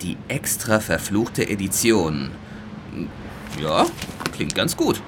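A man's voice: deep voice, sing-song, slow, background noise, full character voice. A man playing a bored, absent-minded train conductor in a children's audio drama. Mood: distracted. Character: bored, easily absorbed male train conductor.

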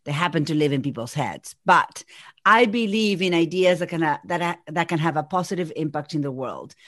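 Woman, Argentine Spanish accent, 40s, energetic guest, dry close sound. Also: low voice, brisk, dry recording. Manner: energetic, thinks aloud with restarts. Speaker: guest, executive coach. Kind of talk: casual podcast conversation.

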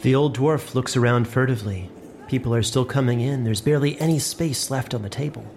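A man's voice: medium pitch, brisk, darkish tone, slight background noise. A man giving scripted narration for a fantasy story.

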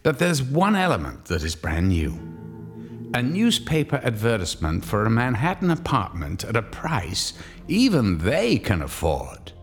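A knowing male narrator of a suspense radio play. His fairly low voice moves expressively as he sets out the tale with measured foreboding, over faint music. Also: huge pitch swings, exaggerated voice.

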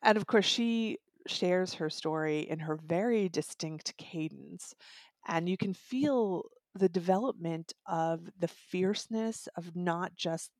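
A woman's voice: lowish voice, takes it slowly, a little mellow, dry recording. Woman reviewing books, dry close sound. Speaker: audiobook reviewer and podcast guest. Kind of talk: conversational book review discussion.

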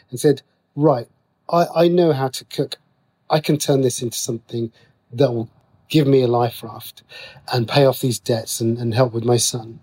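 A man's voice: fairly high pitch, a little mellow, husky. A middle-aged man speaking casually as an interview guest, explaining things in a chatty, rambling way. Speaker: writer and radio drama creator, interview guest.

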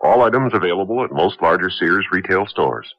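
A man's voice: low voice, lively intonation, a little fast, heavy background noise. A man reading a scripted retail commercial for old-time radio. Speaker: commercial announcer. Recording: dull old radio recording with music.

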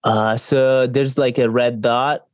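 A man's voice: medium pitch, little pitch movement, slow, no echo, clean studio sound. A man, a climber giving shy, hesitant answers with laughs. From Switzerland. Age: 20s. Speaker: climber, guest.

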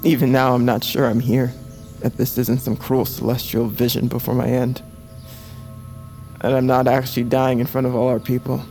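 A man playing a desperate, guilt-ridden bird-folk assassin on the run in a fantasy audio drama, speaking in a halting, intense way. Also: medium pitch, little pitch movement, darkish tone, soft background music, natural voice. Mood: haunted, halting.

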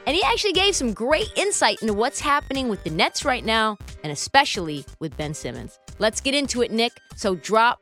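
A woman's voice: fairly high pitch, very animated, a little fast, hardly any pauses, fairly bright tone, faint music behind. A woman hosting a sports talk show in a conversational style.

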